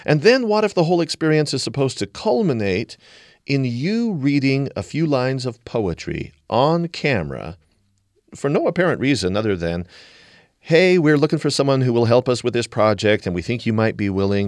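A man hosting a radio show, reading a scripted introduction in an animated, questioning way before interviewing his guest. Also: medium pitch, normal speed, no echo, clean studio sound.

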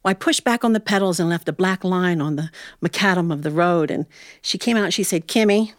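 An American woman telling a humorous personal tale as a storyteller, in a folksy, animated manner with dramatic pauses. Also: lowish voice, dry recording, studio quality. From Ohio, United States.

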